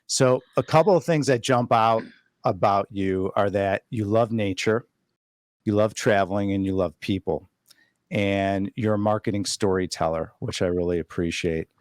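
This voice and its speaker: A man's voice: fairly low pitch, moderate pace, a little mellow, no echo, studio quality. A man hosting a podcast interview, effusive and complimentary toward the guest. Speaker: podcast host. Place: podcast studio.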